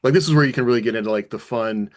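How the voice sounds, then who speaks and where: A man's voice: mid-range voice, very fast. A man co-hosting a casual panel discussion about writing, thinking aloud with enthusiasm. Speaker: podcast co-host and author.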